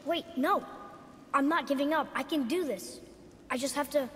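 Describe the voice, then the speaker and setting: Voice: sits high, moderate pace, a bit bright, slight background noise. An actor playing an earnest young warrior's son, eager to prove himself, in a cinematic video game. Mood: earnest, determined. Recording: reverberant game audio with faint music.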